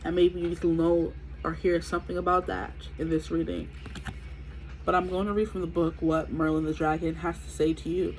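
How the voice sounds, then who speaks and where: A woman's voice: fairly low pitch, level tone, a little fast, a little mellow, slight background noise, poor audio. A woman in her twenties giving a chatty, rambling tarot reading with a stuffy nose from allergies. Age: 20s. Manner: chatty, rambling, many fillers. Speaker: tarot reader. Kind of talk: casual tarot reading to camera.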